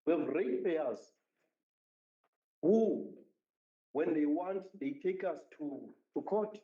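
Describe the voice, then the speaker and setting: A man's voice: fairly high pitch, expressive, slow. A man speaking as a municipal councillor in a council debate, emphatic and repeating his points. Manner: emphatic, repetitive speechmaking. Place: council chamber. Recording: roomy, dull council chamber audio.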